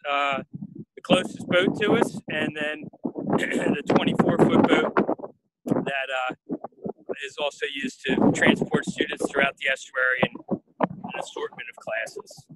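A man in his forties guiding a live walking tour of a research boat and dock, talking casually with many pauses and fillers, filmed on a handheld phone. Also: high voice, sing-song, gravelly, heavy background noise. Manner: casual, hesitant with frequent uh fillers. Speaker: marine field station staff guide. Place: aboard a workboat and on a dock outdoors. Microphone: phone camera held at arm's length.

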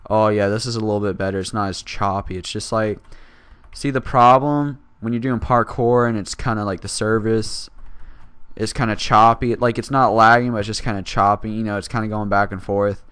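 Teen boy with a freshly woken, raspy voice. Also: on the low side, steady tone, moderate pace, fairly bright tone, dry recording. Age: teens. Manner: rambling, self-deprecating, frequent fillers. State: raspy, just woke up. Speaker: gaming channel host. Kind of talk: casual gameplay commentary.

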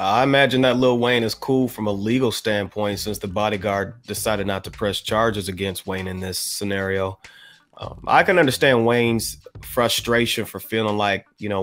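An American man giving his opinion on a podcast panel in a measured, halting way with formal wording. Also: lowish voice, a bit bright. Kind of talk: panel discussion, offering opinion. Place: indoor room on a video call.

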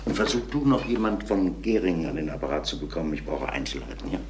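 An actor playing a composed, probing police inspector in a German crime radio drama, questioning in a measured, matter-of-fact way. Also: male voice, a bass voice, very noisy. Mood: probing, firm.

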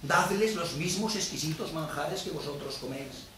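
A man's voice: fairly high pitch, normal speed, fairly bright tone, lightly acted. A male stage actor playing a bitter, vengeful Venetian moneylender in a declamatory theatrical monologue, aggrieved and defiant. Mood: scornful, reasoning. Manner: declamatory, rhetorical questioning. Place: theatre stage, recorded from a distance. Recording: echoey theatre, distant mic, noisy.

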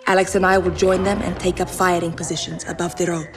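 An actress playing a resolute, grave young rebel commander in a military game, speaking deliberately. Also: female voice, on the low side, level tone, big-room sound, over music, lightly acted. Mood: solemn, resolute. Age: young adult. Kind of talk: cinematic military game acting.

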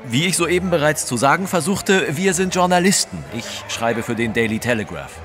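An actor playing a courteous, competitive English reporter with a formal, proper manner, in a German audio drama. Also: male voice, a little high, very animated, normal speed, a bit bright, soft background music. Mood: brisk, competitive. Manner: formal, polite, slightly proper.

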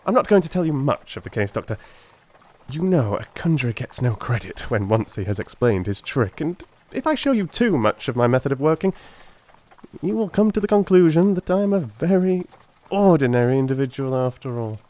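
A man's voice: mid-range voice, big pitch swings, brisk, darkish tone, voice pushed up, natural voice. A man voicing a brilliant, self-assured detective in a dramatised audiobook, explaining with confidence. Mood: teasing, confident. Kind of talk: dramatised audiobook character acting.

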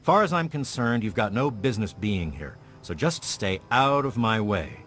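A surly male lab worker in a video game, territorial and resentful. He is curt and scornful, with an animated, swooping delivery over faint music. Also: lowish voice, normal speed. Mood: annoyed, dismissive. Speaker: video game character actor. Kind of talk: scripted game dialogue.

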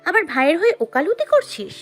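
A cheeky, easily flustered college girl played by a woman in an audio story. Her very high voice jumps up and down as she blurts and bickers dramatically, with soft music behind. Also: big pitch swings, brisk. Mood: bickering, indignant. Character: cheeky, flustered young female college student, the heroine.

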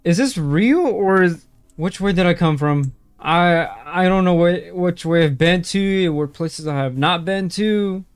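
Young male streamer, slightly high voice, slow nervous delivery, strained throat, dry close headset sound. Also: clean tone, no echo, soft background music. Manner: jumpy, nervous exclamations, keeps calling viewers bro. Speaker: gaming streamer. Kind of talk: horror game playthrough commentary. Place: small room indoors.

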